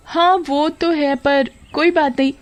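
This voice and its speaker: An excitable young wife, played by a woman storyteller, stubborn and pleading in a cartoon family drama. Her voice lifts high above an everyday pitch, with music underneath. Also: measured pace. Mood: insistent.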